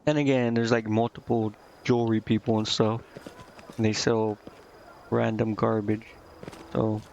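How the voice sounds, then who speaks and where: A man casually commentating a game live and reading its text aloud, thinking out loud in a rambling way and stumbling over unfamiliar words. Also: fairly low pitch, little pitch movement, measured pace, vocal fry, highs cut off, a little hiss or hum. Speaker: game streamer.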